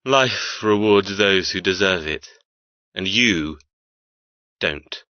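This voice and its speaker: A man playing a cold, arrogant young heir in an audiobook, threatening and deliberate. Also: sits low, sharp tone, dry recording, muffled recording, exaggerated voice. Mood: cold menace. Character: cold menacing heir, cruel and possessive brother. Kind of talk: dramatic audiobook character acting.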